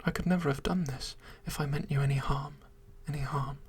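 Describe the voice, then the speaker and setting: A small scaly monster, desperately in love, whispers its pleas and says its words again and again, as a storyteller puts it on. The voice is airy and breathy throughout, with its pitch climbing when it begs. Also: fairly even intonation, brisk. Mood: pleading. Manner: whispered, imploring, repeats itself. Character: pleading lovesick scaly monster.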